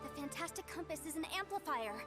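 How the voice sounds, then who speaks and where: Earnest caring girl sidekick in a fantasy game, high lively voice, loud music behind. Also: brisk. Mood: urgent, focused. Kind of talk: video game voice acting.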